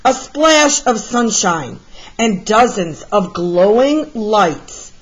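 A woman reading a children's picture book aloud as a storyteller, with deliberate, expressive delivery. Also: medium pitch, breathy edge, low-quality recording. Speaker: read-aloud storyteller, art teacher.

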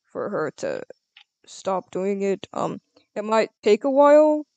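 A speaker improvises casually in a game roleplay, halting as they go. The pitch sits in the middle and the sound is dry and close. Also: sing-song, darkish tone, dry recording. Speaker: player acting as a doctor in a game roleplay.

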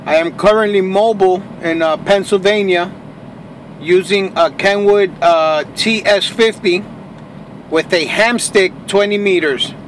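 A man's voice: high voice, unhurried, a bit bright. A plain-spoken man operating a ham radio from inside a car, chatting in operator style. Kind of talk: ham radio contact chat. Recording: faint noise inside a car.

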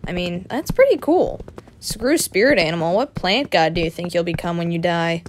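A woman playing a playful, excitable young male adventurer in an audio roleplay, chatty and expressive, affectionate with a partner. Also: on the low side, normal speed, slight background noise, lightly acted. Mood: curious, playful. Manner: breezy, giggly, expressive, chatty.

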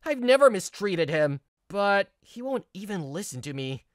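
A woman's voice: mid-range voice, moderate pace, slight background noise, natural voice. A woman voicing an earnest, stressed young male office worker, narrating and acting in a manga video. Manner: earnest, expressive. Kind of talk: first-person narration and dialogue in manga video.

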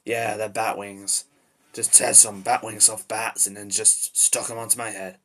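A man performs a short-tempered male demon devil in a homemade cartoon-style monologue. The voice is rough and raspy with a hard, forceful edge. He blurts and mutters, irritable and easily flustered. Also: lowish voice, level tone, unhurried. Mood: joking. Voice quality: raspy, gravelly. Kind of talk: amateur character voice acting, improvised monologue.

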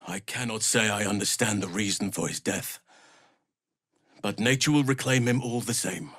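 An actor playing a big, gentle elf druid healer in a fantasy game, speaking in a measured, earnest and formal way. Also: male voice, lowish voice, breathy edge, no room sound, clean studio sound. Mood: solemn, steady. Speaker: video game character voice actor.

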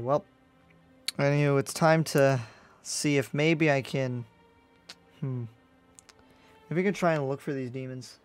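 A man improvising roleplay as he mutters to himself, with sighs and exclamations. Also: fairly high pitch. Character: weary young male demon slayer, muttering to himself. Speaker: roleplay video creator.

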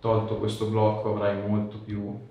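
A man's voice: fairly low pitch, completely flat delivery, moderate pace, dark tone. A man in his thirties giving short, reassuring treatment instructions as a chiropractor and asking the patient questions. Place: small indoor clinic treatment room. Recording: very echoey room, distant mic, faint noise.

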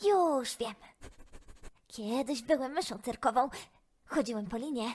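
A small gluttonous male mouse from a children's storybook, voiced by a woman. The voice is high and childlike, with an eager sidekick manner and an unhurried pace. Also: expressive, darkish tone, husky. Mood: confident, scheming. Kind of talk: children's audio storybook acting.

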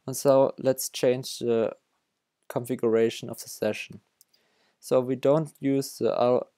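German-accented man, slow and halting. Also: mid-range voice, warm tone, dry recording, clean studio sound. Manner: halting, many restarts and self-corrections. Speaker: developer tutorial host. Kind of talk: screen-recorded coding tutorial.

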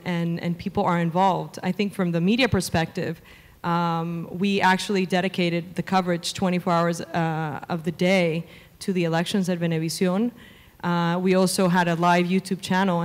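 A woman in her thirties from Venezuela, a media executive answering onstage interview questions into a handheld mic, fluent with frequent "um" fillers. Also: lowish voice. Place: conference stage before a seated audience. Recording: reverberant conference hall.

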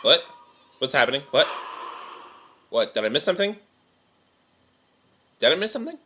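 A man's voice: fairly high pitch, big pitch swings, moderate pace, bright, forceful tone, a little hiss or hum. A young man giving casual, joking reaction commentary, often exclaiming in mock outrage, recorded indoors. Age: young adult. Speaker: reaction video host. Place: living room indoors.

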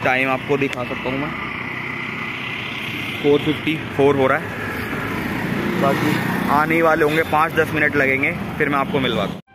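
A man's voice: a little high, steady tone, moderate pace, long pauses. A young man vlogging casually outdoors on a phone, chatting upbeat to his viewers. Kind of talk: casual vlog to camera. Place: outdoors by a road at dawn. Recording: loud road and traffic noise. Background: traffic noise.

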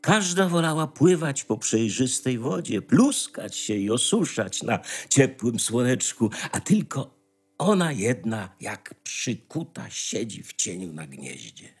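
A man narrating a fairy tale in a measured storytelling manner, with dramatic pauses. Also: medium pitch, sing-song, breathy edge. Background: music.

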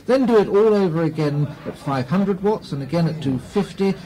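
A man's voice: high voice, lively intonation, moderate pace, warm tone, poor audio. A British man playing a matter-of-fact 1920s wireless technician in a radio play. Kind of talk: radio play acting, period dialogue.

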